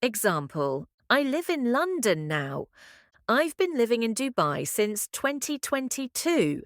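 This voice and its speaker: A woman co-hosting a podcast English lesson, speaking in a slow, clear, friendly teaching manner. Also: a little high, big pitch swings, no room sound, studio quality. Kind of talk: scripted English lesson dialogue.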